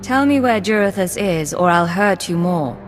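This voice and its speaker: A woman's voice: fairly low pitch, expressive, moderate pace, soft background music, lightly acted. An actress playing a tough, sardonic female secret agent in a video game, with a dry, cool, menacing manner. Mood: cold, threatening. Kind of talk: scripted video game dialogue.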